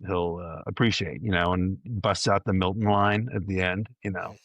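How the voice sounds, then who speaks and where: A man's voice: sits low, steady tone, normal speed, no room sound, muffled recording. A man chatting casually on a podcast panel, rambling along with enthusiasm. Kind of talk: casual chat.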